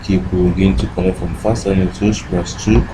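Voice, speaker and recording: A man's voice: deep voice, fairly even intonation, fairly quick, noisy background. A man giving an informal step-by-step tech tutorial as an instructor, often posing short questions to himself and answering them. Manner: asks and answers own questions with repeated "what".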